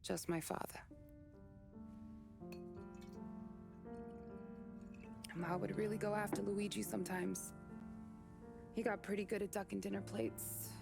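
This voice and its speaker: A woman's voice: medium pitch, steady tone, normal speed, creaky, music in the background, natural voice. An actress playing a tough but tender young working-class city woman, wry and frank, in a cinematic period game. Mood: intimate, reflective.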